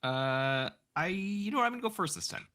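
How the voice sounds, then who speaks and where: Male co-host, casual chat, bright forceful tone, animated delivery. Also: sits high, a little fast, smooth. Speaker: podcast co-host.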